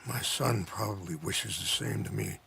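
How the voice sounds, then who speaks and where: An actor playing a weary, dignified old chief and worried father in a video game drama, solemn and measured. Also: sits low, vocal fry, pushed and bright, slight background noise, heavily acted. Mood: grave, sorrowful.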